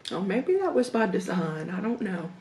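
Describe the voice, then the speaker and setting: A woman in her thirties with a Southern American accent, slowly telling stories while eating at her dining table at home. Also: mid-range voice, sing-song, roomy sound, slight background noise. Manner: slow, reflective, with hums and soft laughs between bites. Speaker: mukbang vlogger. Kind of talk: casual storytelling while eating. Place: home dining room, indoors.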